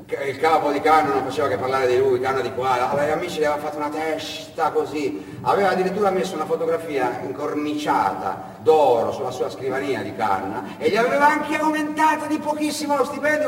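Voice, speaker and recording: A male stage storyteller delivering a dramatic, emphatic narrative monologue with pauses, recorded in an echoey space. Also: on the high side. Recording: echoey, noisy hall, far from mic.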